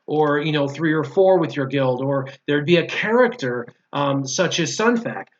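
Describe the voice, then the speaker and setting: Higher-pitched man, emphatic and opinionated. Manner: emphatic, repeats points for stress. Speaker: guest, former mobile game player. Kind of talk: casual opinionated gaming discussion. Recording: dull lo-fi audio.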